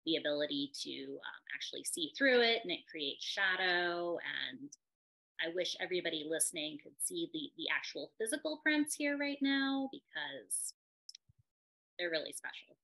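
A woman with a bright, crisp tone hosts a gallery conversation over a video call. Her voice sits slightly low, and she steers the talk with short questions and appreciative remarks. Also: lively intonation, moderate pace, rough recording. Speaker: gallery director and interviewer. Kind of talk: conversational interview.

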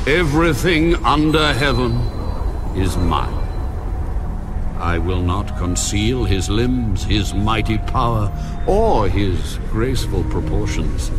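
Guy doing god, slow solemn booming voice, loud music behind. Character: God, a mighty divine voice. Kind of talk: dramatized scripture reading. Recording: over loud background music.

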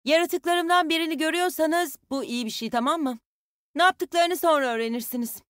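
A woman playing a tough, bossy young soldier who commands animals in a video game, with a brisk, commanding, teasing delivery. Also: high-pitched, fairly bright tone, no room sound, clean studio sound. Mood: firm, confident. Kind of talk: video game character voice lines.

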